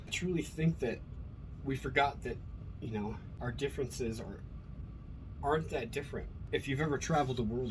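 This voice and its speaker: A man from Colorado talking casually and profanely, rambling with many fillers while reacting to an old speech and his upbringing. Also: lowish voice. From Colorado, USA. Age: adult with a school-age son. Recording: noticeable background noise.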